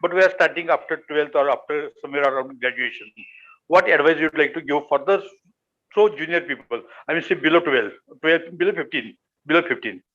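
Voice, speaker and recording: A man's voice: on the high side, a bit bright, dull audio. Polite man asking questions. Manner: polite, halting, rephrasing. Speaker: audience member asking questions. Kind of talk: Q&A on a video call.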